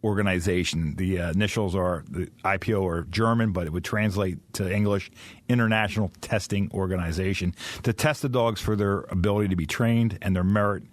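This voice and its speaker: A man with a fairly low voice explains working-dog trials in earnest, thorough detail. He is a professional trainer speaking as an interview guest. The sound is dry and close, with no room around it. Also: steady tone, a little fast, dry recording. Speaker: dog trainer, kennel owner.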